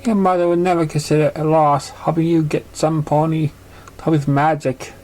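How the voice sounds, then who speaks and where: A man's voice: on the high side, level tone, moderate pace, darkish tone, natural voice. A man voicing a kind, reassuring grown female pegasus pony in a story. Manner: reassuring, patient.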